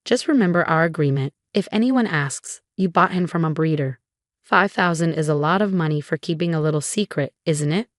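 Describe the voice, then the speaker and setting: A woman's voice: deep voice, brisk, dry recording, clean studio sound, close to an everyday voice. A woman voicing a scheming, falsely sweet grandmother in a dramatic narrated story, cooing and self-righteous. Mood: smug, conspiring.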